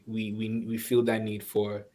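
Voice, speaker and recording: A Nigerian man speaking reflectively and conversationally in an online webinar, often pausing and restarting as he searches for words. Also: lowish voice, completely flat delivery, a little mellow. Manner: thoughtful, halting, searching for words. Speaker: webinar speaker, course instructor.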